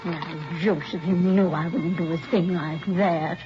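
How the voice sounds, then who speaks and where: An older American woman playing a chatty, nostalgic elderly mother, gently rambling in 1950s radio drama style. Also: lowish voice, steady tone, unhurried, lightly acted. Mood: fond, chatty. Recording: muffled vintage radio recording, faint music.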